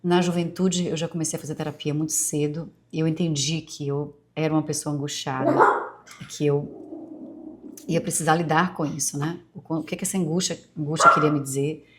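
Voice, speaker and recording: A woman in her forties with a Bahian Brazilian accent softened by years in Rio, hosting a podcast in a rambling, confiding personal monologue full of asides. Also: deep voice, little pitch movement, a little fast, slight background noise. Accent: Brazilian Portuguese, Bahian softened by years in Rio. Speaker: podcast host.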